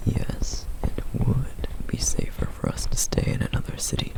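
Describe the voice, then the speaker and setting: A man voicing a protective, duty-bound male flame demon in a whispered ASMR roleplay, hushed, measured and dryly sardonic. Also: natural voice, rough recording. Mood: guarded.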